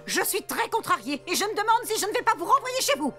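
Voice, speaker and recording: Casting: snobbish, easily outraged cartoon mum, very high voice, swooping delivery, music underneath. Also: female voice, very animated, fast, pushed and bright, full character voice. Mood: upset, indignant. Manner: scolding, pompous, exclamatory. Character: fussy, strict, house-proud mother, snobbish and easily outraged. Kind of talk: animated children's cartoon dub. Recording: music behind the voice.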